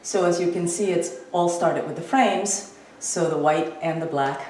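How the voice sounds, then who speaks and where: A woman's voice: lowish voice, measured pace, a little mellow. A woman speaking as an exhibiting artist, informally explaining artwork in a gallery. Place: indoor art gallery. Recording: echoey room with faint noise.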